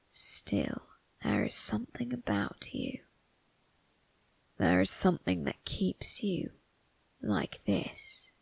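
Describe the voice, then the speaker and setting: A woman playing an eerie undead male undertaker in an ASMR roleplay, calmly menacing and obsessive, speaking in a breathy, creaky whisper with ominous, deliberate phrasing. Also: medium pitch, no echo, full character voice, rough recording.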